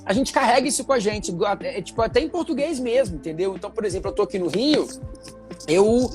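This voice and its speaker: A man from Minas Gerais, Brazil, hosting a casual chat in a lively, rambling way with many fillers. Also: high-pitched, fast, music in the background. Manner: chatty, animated, many fillers. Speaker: show host. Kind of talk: casual conversation.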